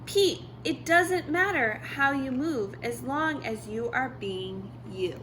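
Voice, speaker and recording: A wise old owl from a children's book, read by a woman. It gives gentle, kindly advice at an unhurried pace, with faint noise behind. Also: high-pitched, expressive, full character voice. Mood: reassuring.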